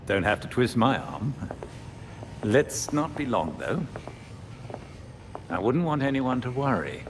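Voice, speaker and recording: An actor playing a polite, dignified older butler with a formal, measured manner, in a game cutscene. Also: male voice, mid-range voice, sing-song. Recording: background noise.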